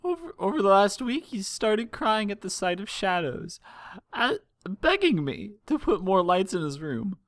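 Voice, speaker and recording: Man voicing a high, tearful mother pony. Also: very animated, no echo, clean studio sound, heavily acted. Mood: tearful, grieving. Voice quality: breathy, trembling. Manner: halting, stammering through sobs. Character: distraught, tearful mother pony pleading for her missing son. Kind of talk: fan fiction audiobook character voice.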